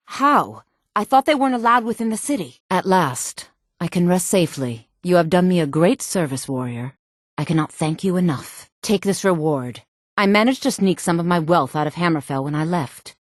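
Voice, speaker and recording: A woman voicing a proud, secretive exiled noblewoman in a fantasy video game, with a sultry, velvety voice and measured, dramatic, formal delivery. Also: fairly low pitch, lively intonation, dry recording, studio quality. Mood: distressed, then relieved, grateful.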